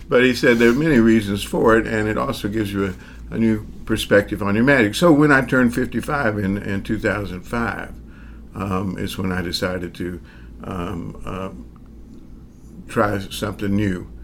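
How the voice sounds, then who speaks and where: A man in his sixties, a magician, telling his story in an interview at an unhurried pace, pausing often with fillers and chuckling now and then. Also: on the low side, a bit bright, a little hiss or hum. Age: 60s. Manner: hesitant, many pauses and fillers; reflective, chuckles as he talks.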